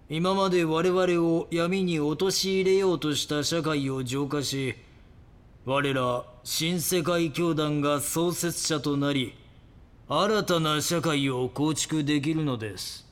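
Slow, messianic cult leader. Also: male voice, on the high side. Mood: solemn, fanatical. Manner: solemn, polite preaching delivery. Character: male cult leader, messianic, grandiose, coldly fanatical. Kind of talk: Japanese audio drama acting.

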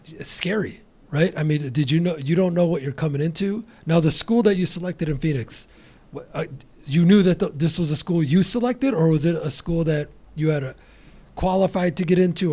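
A male podcast host with a husky voice that sits a little high for a man. He talks in an excitable, rambling way, often breaking off and restarting his sentences. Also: brisk. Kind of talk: casual interview.

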